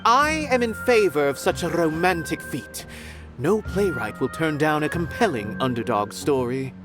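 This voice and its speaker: An actor playing a romantic-minded, approving playwright in a video game, eloquent and formal. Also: male voice, fairly high pitch, lively intonation, moderate pace, over music, natural voice.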